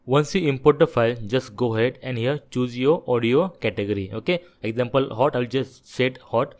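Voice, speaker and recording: A man narrating a software tutorial, explaining things step by step in an instructive, repetitive way. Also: medium pitch, moderate pace. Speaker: tech tutorial presenter.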